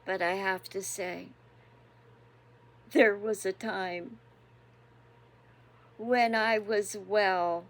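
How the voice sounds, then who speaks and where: A woman in her sixties hosting a devotional channel, chatting casually and reflectively, unhurried and chuckling often. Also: mid-range voice, fairly even intonation. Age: 60s. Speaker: devotional host.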